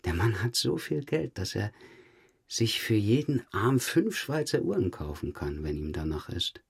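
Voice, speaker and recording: A male police investigator in a crime audio drama. His voice is low and rough-edged, with a husky grain. He presses his suspect in a matter-of-fact, dryly sardonic way. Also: very animated, a little slow, exaggerated voice. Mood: sardonic, pressing. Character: dogged male police detective questioning a murder suspect. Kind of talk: German crime audio drama, interrogation dialogue.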